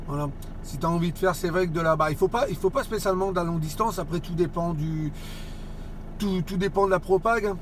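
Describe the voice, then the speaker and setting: A man's voice: sits high, fast. A man chatting casually as a radio operator, rambling and repeating his phrases as he goes. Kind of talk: casual radio contact chat. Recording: noisy radio contact, vehicle and outdoor noise.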